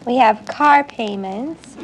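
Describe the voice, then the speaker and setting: A woman's voice: on the high side, slow, a crowd in the background. A nineteen-year-old American woman from California, answering a quiz show host's questions as a contestant. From California, United States. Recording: noisy vintage broadcast.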